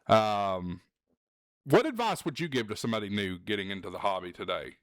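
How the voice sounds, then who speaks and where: A man's voice: lowish voice, lively intonation, brisk, a bit bright, no echo, clean studio sound. A man speaking candidly to viewers as a channel host, earnest and plain-spoken, repeating phrases for emphasis. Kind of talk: candid advice vlog to viewers.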